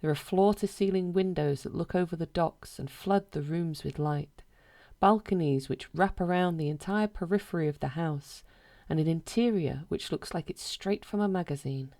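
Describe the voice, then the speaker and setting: This is a woman narrating a short story. Her pitch is low for a woman. She reads the scripted prose in a measured manner, with a deadpan delivery throughout.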